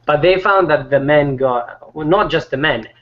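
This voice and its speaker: A man talking casually in a group chat, rambling with many fillers and restarts; he says his voice is not deep. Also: normal speed, lo-fi sound.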